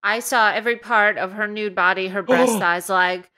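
A woman reading amateur fiction aloud to a comedy panel in a deliberate way. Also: medium pitch, pushed and bright. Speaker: podcast reader.

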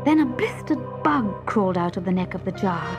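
A woman reading a scripted children's story in an expressive, deliberate manner, hushed at times. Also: mid-range voice, music in the background. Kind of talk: scripted dramatic story narration.

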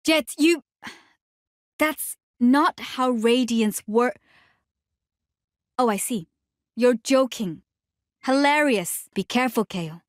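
A woman voicing a serene, compassionate young healer in a video game, with measured, formal phrasing and a gently firm manner. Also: a little high, expressive, no room sound, clean studio sound. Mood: wry, then gentle caution. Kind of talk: scripted video game voice lines.

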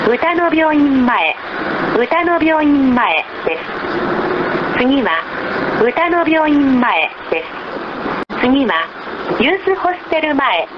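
A woman reading scripted bus stop announcements in a formal, measured, polite manner on a low-quality recording. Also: highs cut off. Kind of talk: scripted public transit announcement. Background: loud vehicle noise.

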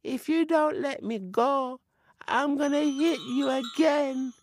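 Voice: high-pitched, takes it slowly, slight background noise, pitched above the performer's own voice. Squealing invisible forest spirit. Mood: whining. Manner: squealing, pleading, petulant threats. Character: high-pitched whiny forest spirit, invisible and easily trapped.

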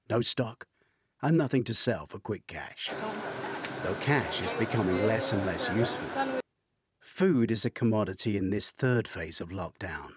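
A man's voice: lowish voice, husky, dry recording, clean studio sound. An older man, an author reading his own thriller as audiobook narration.

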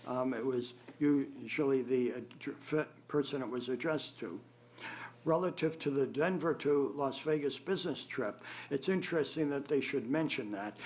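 Older male witness, medium pitch, hesitant and halting, formal hearing testimony on a table mic. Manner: halting, hesitant, with frequent long pauses and throat clearing. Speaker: appellant testifying at a public hearing. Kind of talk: formal testimony, unscripted rebuttal. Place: government board meeting room, indoors. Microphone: gooseneck table microphone.

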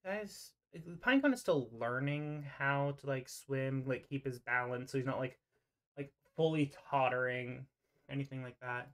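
A game master narrating an improvised tabletop roleplay over an online voice call, often pausing mid-sentence. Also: mid-range voice, fairly bright tone.

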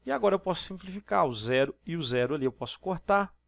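A man's voice: a little high, expressive, fast, creaky, no echo, studio quality. A Brazilian man teaching math over a handwritten screen, explaining each step and checking in with listeners as he goes. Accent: Brazilian Portuguese. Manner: explanatory, step-by-step, frequent tag questions. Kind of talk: exam problem walkthrough. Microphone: voice-over on screen recording.

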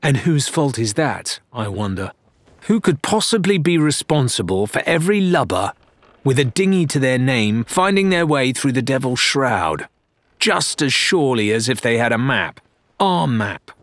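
Scowling woman pirate, acted by a male narrator, sarcastic and accusing, pitched up, audiobook adventure. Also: very animated, moderate pace, dry recording. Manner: biting, sarcastic.

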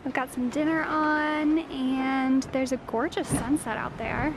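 A woman's voice: sits high, steady tone, measured pace. A young woman co-hosting a sailing vlog, chatting cheerfully to the camera while out at sea. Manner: cheerful, light, laughing. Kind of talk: casual chat to camera. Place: on a sailboat at sea, outdoors. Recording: wind noise at sea.

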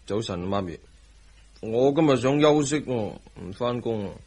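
An actor with a Hong Kong Cantonese accent playing a playful, doting young husband in a radio drama, slightly husky. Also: male voice, medium pitch, expressive, measured pace, a little mellow. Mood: playful, defensive.

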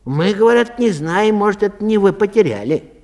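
A man's voice: high voice, expressive, a little fast, darkish tone, pitched above the performer's own voice. A man playing a polite but unbudging lost-property clerk in a comic story, bureaucratic and colloquial. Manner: bureaucratic, colloquial, matter-of-fact. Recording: long hall reverb.

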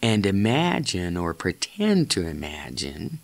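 A man leading a guided meditation in deliberate, halting phrases, giving gentle step-by-step instructions as a narrator of guided imagery. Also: sits low, wildly swooping pitch, creaky.

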